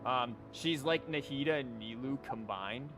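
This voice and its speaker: A man's voice: mid-range voice, unhurried. Rambling man streaming over game music. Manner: rambling, conversational. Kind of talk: casual livestream chat and game commentary.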